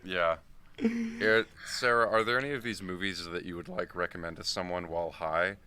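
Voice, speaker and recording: A man with a deep voice and a bright, crisp edge co-hosts a podcast. He chats casually and puts questions to the others. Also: lively intonation, moderate pace. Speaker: podcast co-host asking questions. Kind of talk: casual chat.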